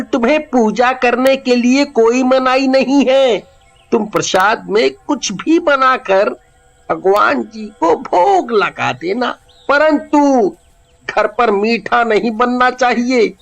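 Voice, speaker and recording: A man's voice: extremely high pitch, lively intonation, slow, vocal fry, a bit bright, soft background music, heavily acted. A man playing a young husband who firmly warns his wife, speaking in a cautioning way. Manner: firm, cautioning.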